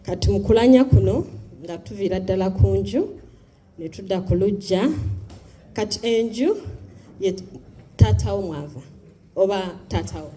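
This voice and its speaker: A speaker explaining clan customs in Luganda, pausing often. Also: mid-range voice, mellow. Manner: halting, explanatory. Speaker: panelist. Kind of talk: explaining tradition. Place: community hall.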